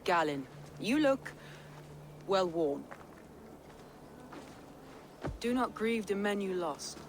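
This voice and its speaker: An actress playing a proud Viking warrior lord in a video game, speaking in a measured, commanding and solemn way. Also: female voice, mid-range voice, animated. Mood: solemn, warm. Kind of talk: video game cutscene acting. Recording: clear background ambience noise.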